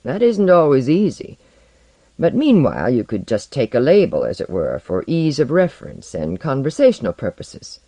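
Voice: medium pitch, huge pitch swings, normal speed, warm tone, no echo. A reader voicing a mild but sour, short-tempered mentor with a dry, matter-of-fact manner. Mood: mild, offhand.

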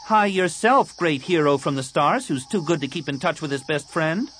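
A man's voice: on the high side, animated, moderate pace, a bit bright, a little hiss or hum, voice pushed up. A man voicing a spirited, stubborn fourteen-year-old girl in an audiobook. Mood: teasing, indignant. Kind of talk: audiobook character dialogue.

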